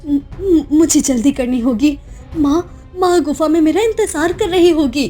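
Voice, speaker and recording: A woman voicing an innocent, devoted young orphan girl in an animated moral story, emotional, pleading and halting. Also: high-pitched, faint music behind. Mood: anxious, urgent. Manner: pleading, tearful, halting repetitions.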